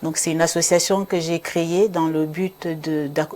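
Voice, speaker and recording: Low-voiced woman from Cameroon, flat delivery. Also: moderate pace, slight background noise. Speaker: association founder and guest. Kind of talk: talk show guest interview.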